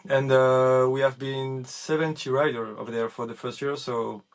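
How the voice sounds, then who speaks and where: Laid-back French man, mid-pitch, halting English, remote guest. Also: level tone. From France. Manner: laid-back, hesitant with frequent fillers. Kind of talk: casual chat. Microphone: video call.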